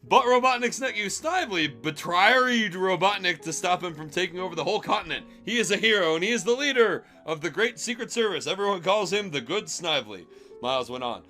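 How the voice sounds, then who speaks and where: A man's voice: high-pitched, lively intonation, brisk, pushed and bright, soft background music. A man streaming a comic reading of fan fiction, narrating in an amused way and prone to breaking up.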